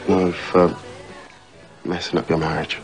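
A man's voice: low voice, level tone, unhurried, soft background music. A man acting an earnest, apologetic young man in a television drama, with an English accent.

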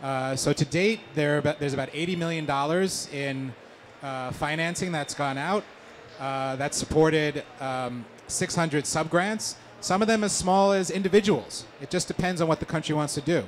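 A man speaking as a panelist at a conference, thinking aloud with frequent pauses and restarts. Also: a little high, slight background noise. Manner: thoughtful, many fillers and restarts. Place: large conference pavilion indoors.

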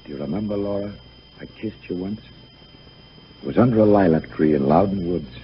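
An actor playing the gentle, boyish ghost of a young son in 1930s radio style, wistful and light in manner. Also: male voice, deep voice, fairly quick, natural voice. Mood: tender. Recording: muffled, noisy 1930s radio broadcast.

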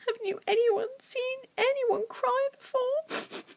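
A woman's voice: extremely high pitch, animated, normal speed, creaky, voice pushed up, heavily acted. A woman voicing an earnest, emotional, plucky young girl in a storybook. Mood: sobbing, indignant.

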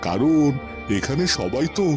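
A man voicing a guilt-ridden, despairing loyal man in a cartoon, lamenting with dramatic pauses. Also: mid-range voice, big pitch swings, over music. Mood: worried. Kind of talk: Bengali cartoon voice acting.

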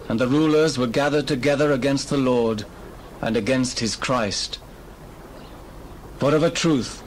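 A man playing a devout believer praying in a solemn, measured, reverent way in a dramatized Bible reading. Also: mid-range voice, slight background noise, lightly acted, low-quality recording.

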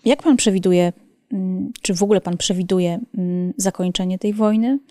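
A woman's voice: fairly low pitch, steady tone, normal speed, warm tone, no room sound. A woman hosting a podcast, asking probing conversational questions into a studio microphone. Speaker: podcast host. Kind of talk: interviewing. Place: small studio. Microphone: studio microphone on arm.

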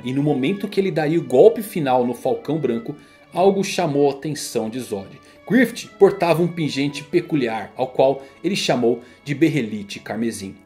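A man's voice: fairly high pitch, darkish tone. A man with a Brazilian accent reading a scripted, dramatic story narration. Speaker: video narrator. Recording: music behind the voice.

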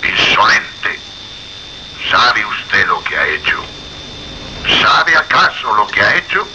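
An actor with a Castilian Spanish accent playing a menacing, indignant anonymous caller in a radio suspense drama, speaking in an imperious, emphatic, repetitive way. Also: male voice, fairly low pitch, big pitch swings, slow, bright, forceful tone, a little hiss or hum, exaggerated voice, low-quality recording. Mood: furious warning. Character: menacing anonymous male phone caller, indignant guardian of secrets.

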